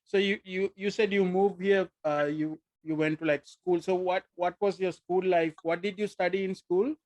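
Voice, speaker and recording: A middle-aged man hosts a podcast from a room at home, talking into a desk microphone over a video call. His voice sits high for a man, and the sound is close and dry. He asks his questions haltingly, with plenty of fillers. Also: steady tone, a little mellow, dry recording, highs cut off. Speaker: podcast host. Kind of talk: casual video-call interview. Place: home room with posters behind.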